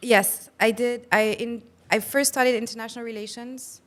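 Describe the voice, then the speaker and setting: A woman's voice: a little high, steady tone, normal speed. A woman from Luxembourg with a French accent, chatting casually as an interview guest on a video call, with filler words and restarts. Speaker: interview guest, company executive.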